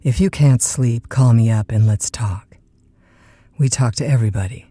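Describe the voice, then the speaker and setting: An actress playing a chatty, easygoing overnight radio disc jockey in an audio drama, with a folksy, informal manner. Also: female voice, extremely low pitch, moderate pace, mellow, no echo. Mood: friendly on-air. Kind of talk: naturalistic audio drama acting.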